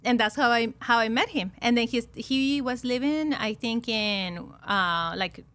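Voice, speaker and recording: A woman's voice: on the high side, measured pace, fairly bright tone. A woman of around forty from Peru with a Peruvian Spanish accent, telling stories as a podcast guest in a chatty way, laughing often between fillers. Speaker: podcast guest, loan officer. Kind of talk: casual storytelling interview.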